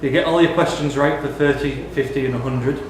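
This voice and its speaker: A man's voice: mid-range voice, fairly even intonation, a little fast. A man reading out questions and answers as the host of a live pub quiz. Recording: very echoey room with background noise.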